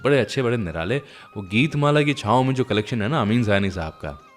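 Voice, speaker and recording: A man's voice: mid-range voice, lively intonation, a little fast. A man hosting a music show, telling anecdotes in a chatty, conversational way straight to his listeners. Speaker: radio show host. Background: music playing behind the voice.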